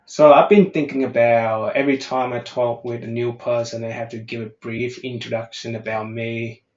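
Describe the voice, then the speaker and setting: A man's voice: mid-range voice, level tone, normal speed, darkish tone, echoey room, dull audio. A man from Vietnam with a mixed Vietnamese, American and Australian accent, chatting frankly as an English conversation tutor, swearing casually and thinking aloud. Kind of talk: casual online chat.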